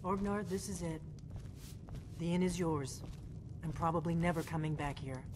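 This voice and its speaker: Actress for guarded veteran female agent, fantasy game; low register, brisk and commanding. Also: noisy background, lightly acted. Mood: resolved farewell. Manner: brisk, no-nonsense. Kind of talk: fantasy video game voice acting.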